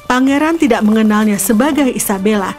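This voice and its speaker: A woman storyteller with a fairly high voice reads a scripted children's fairy tale. Her narration runs over soft background music. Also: expressive, fairly quick. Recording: music behind the voice.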